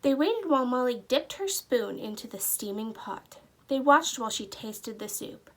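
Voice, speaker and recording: A woman narrating a children's storybook aloud with expressive, deliberate storytelling. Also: a little high. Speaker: teacher reading aloud.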